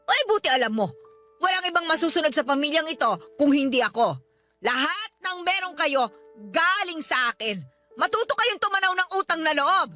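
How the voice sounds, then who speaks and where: Shrill, domineering older mother. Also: expressive, moderate pace, bright, forceful tone, faint music behind. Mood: scolding, bossy. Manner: scolding, bossy. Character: domineering, threatening older mother, strict landlady. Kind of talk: Tagalog radio drama acting.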